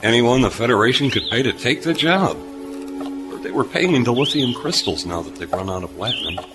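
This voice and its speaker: An actor playing a gruff, weary former starship captain turned repairman in a sci-fi audio drama, dry, curt and sardonic. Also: mid-range voice, lively intonation, moderate pace, loud music behind the voice, natural voice. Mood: gruff, dismissive. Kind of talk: science fiction audio drama acting.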